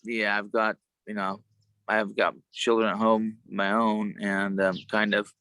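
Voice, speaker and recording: A man chatting casually on a video call, with a worn, sometimes gravelly voice and a halting, rambling way of talking. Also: lowish voice, flat delivery, bright, forceful tone, dry recording. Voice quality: gravelly at times. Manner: rambling, halting, many restarts. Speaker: show co-host.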